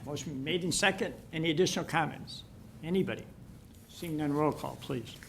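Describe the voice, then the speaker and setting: Gravelly man chairing a meeting. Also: fairly high pitch, slight background noise. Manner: brisk procedural delivery. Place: village board meeting room.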